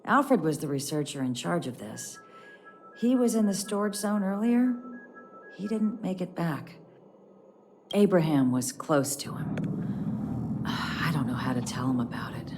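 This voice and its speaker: A woman playing a patient scientist in a video game, giving measured instruction over music. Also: sits low, very animated, slight background noise, exaggerated voice. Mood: somber. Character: busy, patient female scientist instructing a youngster. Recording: loud music behind the voice.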